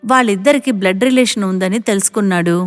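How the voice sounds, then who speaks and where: A woman's voice: medium pitch, fast, soft background music. Woman narrating with long reverb. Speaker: story narrator. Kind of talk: scripted narration of animated moral story.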